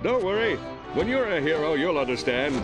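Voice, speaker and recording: Bumbling galactic president, vain, puffed-up delivery, highly varied intonation, game cutscene, music behind. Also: male voice, sing-song, fairly quick. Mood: pompous, boastful. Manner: pompous, self-aggrandizing. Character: vain, bumbling cowardly male hero turned galactic president. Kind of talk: animated game cutscene acting.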